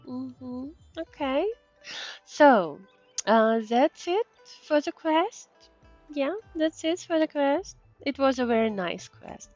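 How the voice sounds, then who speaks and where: A woman streamer chatting casually over a game in non-native English. She speaks slowly and haltingly in a fairly high voice, searching for words. The sound is slightly dull, with soft game music behind her. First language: not English. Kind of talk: casual gameplay commentary, reading dialogue aloud.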